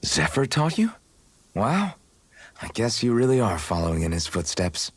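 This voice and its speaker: Game role: gentle, earnest young hero, fairly low pitch. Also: male voice, very animated, moderate pace, slightly rough, no echo. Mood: impressed. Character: earnest, mild-mannered young male hero. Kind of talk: video game voice acting. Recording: studio voice over game music.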